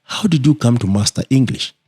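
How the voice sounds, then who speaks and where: A man's voice: medium pitch, fairly quick, a little mellow, husky, soft background music. A man hosting a podcast interview, talking casually and leading into his questions with chatty asides. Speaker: podcast host.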